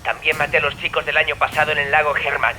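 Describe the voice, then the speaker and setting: Cold flat killer calling the cops, higher tense voice, phone filter, true crime audio drama. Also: male voice, fast. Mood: cold, matter-of-fact. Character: cold male serial killer phoning police to confess. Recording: bright filtered audio, faint noise.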